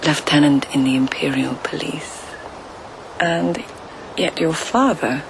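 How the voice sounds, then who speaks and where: Radio drama: tender, observant Japanese court lady, unhurried and hushed, husky fry, clear ambient noise behind. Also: sits low, exaggerated voice. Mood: tender, curious. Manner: slow, hushed, breathy musing. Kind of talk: intimate radio drama acting.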